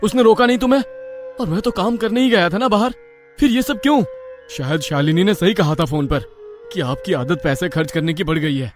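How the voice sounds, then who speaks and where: Poor young husband, male actor: hardworking, troubled, questioning storybook lead with a fairly high voice. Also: fairly quick, soft background music, lightly acted. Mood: shocked. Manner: questioning, earnest.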